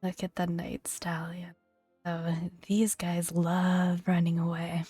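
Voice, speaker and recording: A woman speaking softly and gently in a breathy near-whisper, narrating a video game as ASMR. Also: lowish voice, flat delivery, takes it slowly, dry recording. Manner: gentle, hushed delivery. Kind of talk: soft-spoken ASMR video game commentary. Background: soft background music.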